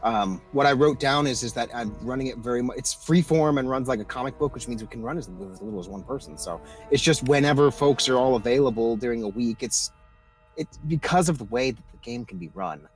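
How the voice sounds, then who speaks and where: A man's voice: mid-range voice, fairly quick, faint music behind, rough recording. A man in casual, chatty livestream banter, talking as a tabletop roleplay gamer.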